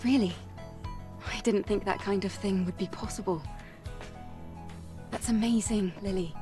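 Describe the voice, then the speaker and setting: A determined young warrior heroine played by a woman speaks sincerely at a medium pitch. She sounds a little distant from the mic, with game music clearly behind her. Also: fairly even intonation, a little slow, natural voice. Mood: pleased. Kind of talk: video game character acting.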